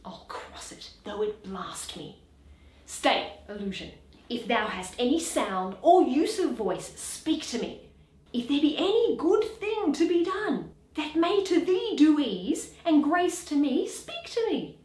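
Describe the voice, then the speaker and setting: A woman playing a grave, scholarly nobleman in dramatic, declamatory Shakespearean verse, deliberate and with hushed dips. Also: sits high, very animated, reverberant, pitched above the performer's own voice, heavily acted. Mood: fearful, urgent. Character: grave scholarly Danish nobleman Horatio, awed yet commanding.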